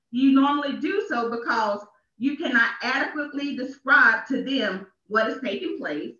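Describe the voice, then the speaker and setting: A woman preacher speaks in a high voice, giving an emphatic sermon with deliberate repetition. She is heard at a distance in a small, echoey church. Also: pushed and bright. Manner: emphatic, repeats phrases for effect. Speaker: minister. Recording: echoey, dull church livestream from off.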